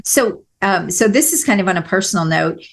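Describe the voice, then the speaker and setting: A woman's voice: medium pitch, lively intonation, a little fast. A woman from Missouri with a light Missouri accent, hosting an interview podcast in an enthusiastic, chatty way with many affirmations. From Missouri, USA. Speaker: podcast host. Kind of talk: conversational interview.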